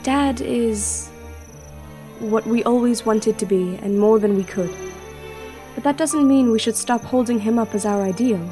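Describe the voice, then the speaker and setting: A woman acting as a young, reserved and melancholy princess in an audio drama, with a measured, formal manner. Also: on the high side, warm tone, faint music behind, natural voice. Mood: solemn, reassuring.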